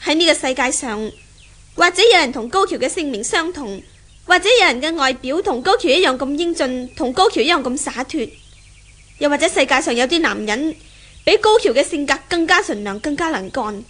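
A righteous young woman standing firm in a 1970s radio drama. Her voice is high and bright, with a Hong Kong Cantonese accent. She comes across as earnest and unbending. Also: animated, a little fast. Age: young adult. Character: stubborn, principled young woman defending her love.

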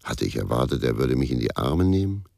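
A man playing a bitter, world-weary ex-policeman who narrates a crime radio play in a gravelly, laconic way. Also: very deep voice, very animated, brisk, dark tone, full character voice. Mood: brooding. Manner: brooding, laconic. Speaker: first-person narrator.

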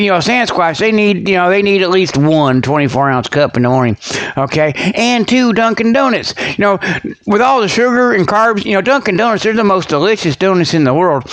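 A man voicing an excitable, folksy comic radio reporter who rambles through mock sponsor pitches, often checking for agreement. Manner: folksy, rambling, repetitive, seeks agreement with okay and you know. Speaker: host.

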